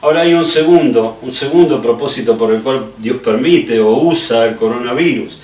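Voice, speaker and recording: Middle-aged Rioplatense preacher, echoey and distant. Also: male voice, mid-range voice, normal speed, darkish tone. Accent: Rioplatense Spanish. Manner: direct, earnest address to the listener with rhetorical questions. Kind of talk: conversational sermon. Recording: echoey room, distant microphone.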